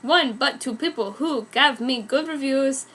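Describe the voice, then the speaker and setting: A woman's voice: high voice, measured pace, bright, forceful tone. A woman doing a dramatic reading of amateur fan fiction as narrator, theatrical and easily amused. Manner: theatrical, often breaking into giggles.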